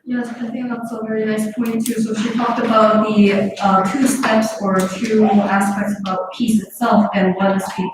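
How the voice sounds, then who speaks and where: A woman's voice: medium pitch, flat delivery, normal speed, few pauses. Echoey distant woman moderating a panel. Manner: summarizing, with frequent "uh" fillers. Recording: echoey and distant with noticeable background noise.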